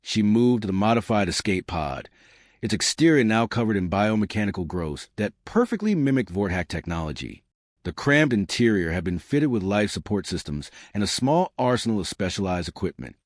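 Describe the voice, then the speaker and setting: Male narrator for sci-fi adventure. Low pitch, lively swings, dry close sound, dramatic and measured. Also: no echo. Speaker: audiobook-style story narrator.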